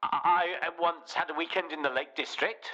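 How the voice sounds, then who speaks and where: A man's voice: fairly high pitch, steady tone, normal speed, bright, forceful tone. A man as an indignant phone-in caller. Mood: flustered. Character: indignant, easily flustered male geography teacher phoning in. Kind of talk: comedy radio play acting. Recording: dull phone-line sound.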